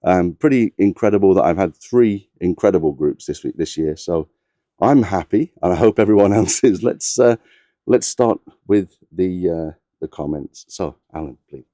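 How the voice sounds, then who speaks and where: A man with a low-pitched, very dark and mellow voice hosts casually. He is relaxed and pleased, pausing on fillers as he turns to his guests. The sound is dry and close. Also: dry recording. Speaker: host and photography tour leader. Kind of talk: casual chat introducing guests.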